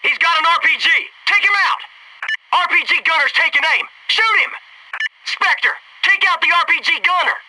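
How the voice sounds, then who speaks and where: An actor playing a brash, wisecracking young American Navy SEAL in a military shooter game, with a cocky, folksy, punchy delivery. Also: male voice, very high-pitched, normal speed, sharp tone, far from the mic, a little hiss or hum, full character voice, low-quality recording. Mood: shouting alarm.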